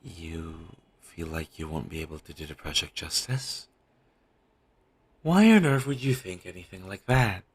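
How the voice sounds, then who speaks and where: A man playing a caring, teasing talent manager, coaxing a troubled actor in a one-sided audio roleplay with a low-quality sound. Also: measured pace, breathy edge. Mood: apologetic, earnest. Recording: low-quality amateur recording.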